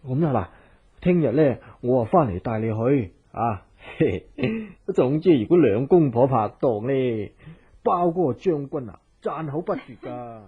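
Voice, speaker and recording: A timid, devoted household cook in a Hong Kong Cantonese radio drama. His voice is rough and husky and swings widely in pitch as he sighs and hesitates deferentially. The sound is boxed-in and narrow, like an old radio broadcast. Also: animated, a little slow. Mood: doting, pleased. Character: timid, doting male household cook, eager to please. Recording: muffled narrow-band old radio recording.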